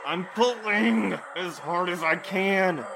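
A man's voice: sits high, slow, vocal fry, noisy background, full character voice. An actor playing an anxious, fussy, proper young male courier with prim, wordy speech in an audio drama. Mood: strained, panicked. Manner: prim, wordy, hesitant phrasing. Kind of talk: full-cast audio drama acting.

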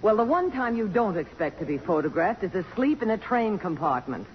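An actress playing a poised, sentimental but strong-willed middle-aged congresswoman, with a crisp, commanding and emotional delivery in 1950s radio drama style. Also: medium pitch, very animated, brisk, lightly acted. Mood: guarded, firm. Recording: dull, noisy old radio broadcast.

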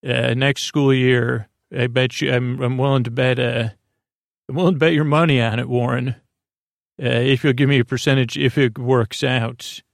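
Male sleep podcast host: gravelly creak, close dry sound, halting and meandering bedtime monologue. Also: medium pitch, steady tone, no room sound. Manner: meandering, halting, stammering, drifting into tangents.

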